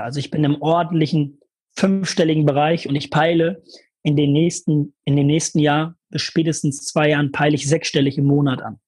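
A young man telling a lively personal story in a casual interview, full of fillers and emphasis. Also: on the high side, breathy edge. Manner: energetic, animated storytelling with many fillers. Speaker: guest, former mastermind participant.

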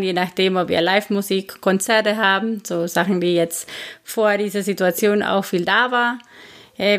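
Guatemalan woman with a Spanish accent. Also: mid-range voice. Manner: frequent hesitations, non-native grammar. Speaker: bar owner, guest. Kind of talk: casual interview chat.

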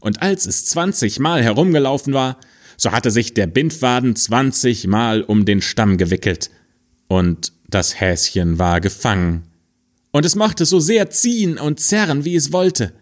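A man reading a fairy tale aloud in a slow, expressive storytelling manner. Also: medium pitch.

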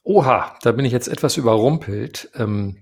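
A middle-aged man, a voice actor, chatting casually as an interview guest, hesitant with many fillers and quick to laugh. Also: mid-range voice, animated, a little mellow, husky. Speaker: radio play voice actor, guest.

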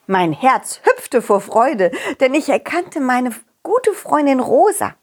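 A woman storyteller narrating a children's tale in an expressive, gentle way made for young listeners. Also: high-pitched, slow pace.

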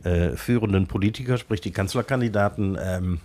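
A man with a cold and a blocked nose, his voice pitched fairly low. He co-hosts a podcast in casual chat and hesitates often with filler sounds. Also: fairly quick, darkish tone. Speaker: podcast co-host. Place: cold covered tent studio.

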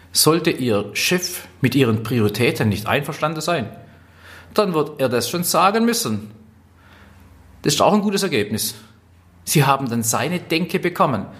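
A man's voice: medium pitch, fairly bright tone. Emphatic man with a Swabian accent. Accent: Swabian German. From Swabia, southwest Germany. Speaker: trainer, speaker. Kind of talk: instructional talk on workplace skills.

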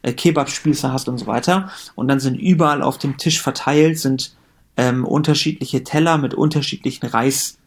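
A man's voice: medium pitch, normal speed. A man in his late thirties from Germany, a company co-founder talking casually in a podcast interview, with many fillers and restarts. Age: 30s. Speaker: company co-founder and managing director.

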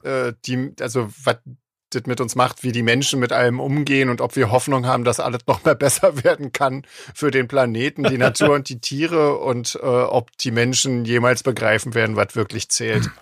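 Gravelly-voiced man, halting casual chat. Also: mid-range voice, steady tone, fairly bright tone, no echo, clean studio sound. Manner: hesitant, many fillers, laughs often. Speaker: singer and lyricist.

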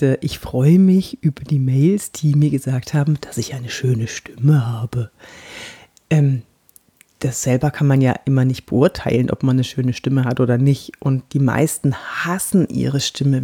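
A woman from Franconia with a light Bavarian lilt, an actress and body-language coach giving a chatty podcast lesson on clear speech and slowly guiding mouth exercises. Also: extremely low pitch, dark tone. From Franconia, Germany. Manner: guides exercises slowly and deliberately; chatty and personal.

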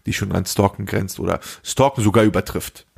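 A man's voice: fairly low pitch, a little fast, vocal fry, darkish tone, breathy edge. A young man co-hosting a podcast in casual, slangy chat, telling stories animatedly and acting out the dialogue. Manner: slangy, acts out dialogue in anecdotes. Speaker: podcast host.

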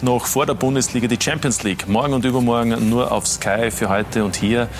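A man with an Austrian accent hosting a sports talk show, asking measured questions with an easy, friendly laugh. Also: mid-range voice, fairly even intonation, a little hiss or hum. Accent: Austrian German. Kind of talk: studio interview. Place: TV studio.

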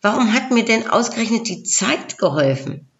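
A woman voicing a gentle, earnest, pleading female spirit in a storybook fable. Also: medium pitch, very animated, a little slow, highs cut off, voice pushed up. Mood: wondering.

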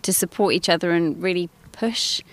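A woman festival participant speaking in an upbeat, lively way. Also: lowish voice, moderate pace, dry recording.

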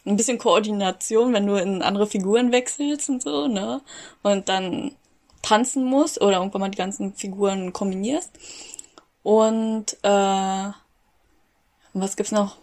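Giggly slow-talking young woman. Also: mid-range voice, fairly even intonation, a little mellow. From Berlin, Germany. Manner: giggly, hesitant, many fillers. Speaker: podcast guest. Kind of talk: casual podcast interview.

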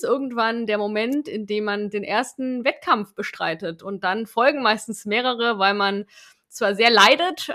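A woman's voice: a little high, a bit bright. A woman hosting a podcast, asking questions in a chatty, rambling way. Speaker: podcast host. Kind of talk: casual podcast chat.

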